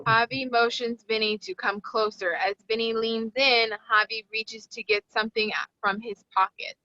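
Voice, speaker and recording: Bright-voiced woman reading stage directions. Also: a little high, fairly even intonation, moderate pace, dry recording. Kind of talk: scripted narration at a table read. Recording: dull, dry lo-fi audio.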